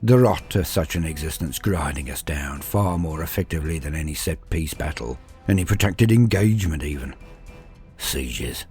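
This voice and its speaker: A man's voice: extremely low pitch, animated, normal speed, a little mellow. A man reading scripted stories as a narrator, with a solemn, theatrical, dramatic delivery. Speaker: narrator of fan fiction war tales. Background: music.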